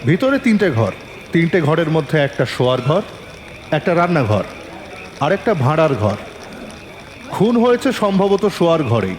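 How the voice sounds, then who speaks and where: A man narrating a detective audio story in the first person, with an expressive, dramatic storyteller delivery. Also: on the high side, slight background noise.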